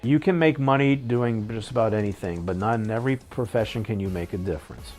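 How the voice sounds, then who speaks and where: A middle-aged man giving thoughtful interview answers in a measured, halting way, often correcting himself. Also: lowish voice, darkish tone, soft background music.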